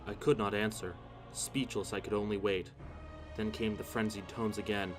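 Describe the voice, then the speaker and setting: Deep-ish guy reading a horror story, solemn n theatrical, clear music underneath. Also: fairly even intonation, normal speed. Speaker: story narrator. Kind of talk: dramatic first-person horror narration.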